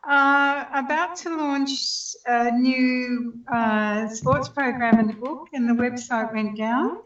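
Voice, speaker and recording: A woman co-host vents loudly about her week, her voice fairly high. She sounds distant and echoey, and the line is dull. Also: slow pace. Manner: loud, exasperated venting.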